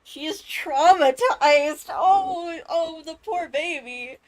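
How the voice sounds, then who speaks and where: A woman's voice: extremely high pitch, slow. A woman streamer reacting while she plays a game, with sympathetic, cooing comments.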